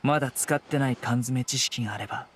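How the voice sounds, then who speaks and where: A man's voice: medium pitch, a little fast, a bit bright, faint music behind, close to an everyday voice. A man playing a stern young law enforcer in a Japanese video game, composed, blunt and commanding. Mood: stern, cool.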